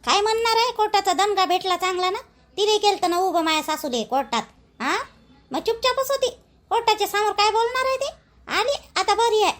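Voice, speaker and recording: A chatty rural housewife from a cartoon as acted by a woman, with an exceptionally high, almost childlike voice. She speaks homely Vidarbha Marathi in an expressive gossiping style, stretching out her words. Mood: excited, gossipy. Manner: colloquial, expressive, drawn-out calls.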